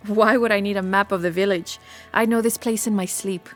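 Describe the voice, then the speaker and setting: A woman's voice: mid-range voice, steady tone, a little fast, soft background music, natural voice. An actress playing a playful, skeptical young village woman in a video game, with a casual, teasing manner. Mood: skeptical, curious. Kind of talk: video game cutscene acting.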